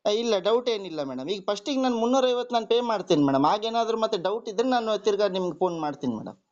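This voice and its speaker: Brief: lively, quick man on a muffled phone line, eager yes-madam applicant. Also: high voice. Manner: eager and agreeable, keeps saying "okay madam". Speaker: job applicant on a phone call. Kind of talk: phone conversation. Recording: muffled phone call recording.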